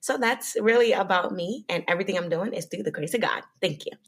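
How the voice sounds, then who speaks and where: A young woman from Nigeria whose first language is Yoruba, a podcast guest telling her story in a friendly, relaxed way. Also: medium pitch, fast talker. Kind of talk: casual interview chat.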